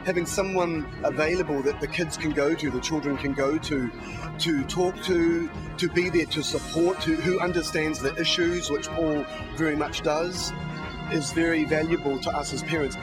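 A man's voice: on the high side, steady tone, normal speed. A man, a missionary parent, speaking appreciatively about support for his family in a mission video. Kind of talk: interview in a ministry video. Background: music.

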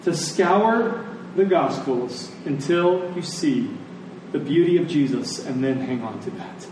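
High-voiced male preacher in an echoey room. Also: lively intonation, slow. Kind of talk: sermon to a congregation. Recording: echoey room, distant mic, faint noise.

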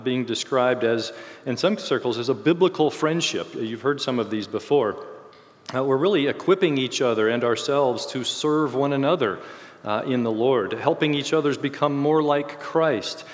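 A man giving an informal, explanatory Bible lesson in halting phrases, his voice a little worn from a cold. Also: mid-range voice. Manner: halting, explanatory delivery with self-corrections. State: getting over a cold, voice slightly failing. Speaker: church teacher leading a series on discipleship. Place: speaking to a group in a large reverberant room. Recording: reverberant hall sound.

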